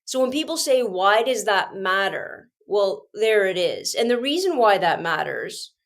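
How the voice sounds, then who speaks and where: A woman's voice: mid-range voice, sing-song, unhurried, fairly bright tone. A Canadian woman, a teacher, hosting her own show, sounding congested with a cold and talking with passionate emphasis and frequent restarts. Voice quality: screechy when agitated. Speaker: podcast host and teacher. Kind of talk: casual solo commentary.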